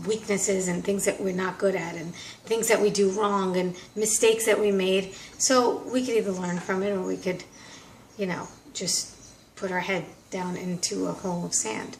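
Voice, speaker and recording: A woman vlogger talking casually and earnestly in a rambling, reflective way, her voice slightly hoarse from a cold. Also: mid-range voice, fairly even intonation, moderate pace. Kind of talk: casual reflective monologue to camera. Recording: roomy, distant mic, faint background noise.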